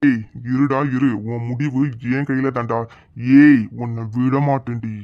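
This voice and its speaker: A man's voice: mid-range voice, steady tone, a little slow, dry recording, natural voice. Guy doing a cocky village thug, mid voice, dull dry lo-fi sound. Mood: menacing. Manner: threatening, boastful. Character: swaggering young village bully man.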